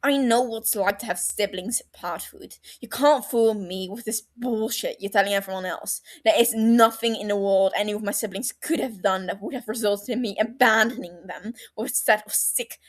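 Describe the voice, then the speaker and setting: A woman's voice: medium pitch, normal speed, hardly any pauses, natural voice. A woman playing an angry young man, biting and emphatic. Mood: scathing. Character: angry young man scolding his friend, sharp and condescending.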